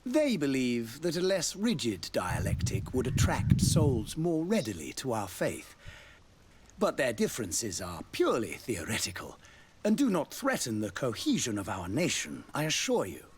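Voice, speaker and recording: A man's voice: medium pitch, wildly swooping pitch, moderate pace, slight background noise. A man playing a courtly, devout ambassador in a fantasy video game, speaking in a formal, florid, diplomatic way. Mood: smooth, assured. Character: courtly, devout male ambassador of a theocratic nation.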